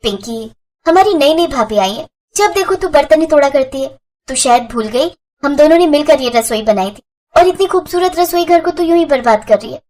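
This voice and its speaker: A woman's voice: high voice, animated, moderate pace, darkish tone. A woman voicing a mild but reproachful young sister in a family moral story. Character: mild young twin sister, gently scolding, slightly resentful. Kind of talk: Hindi moral story voice acting.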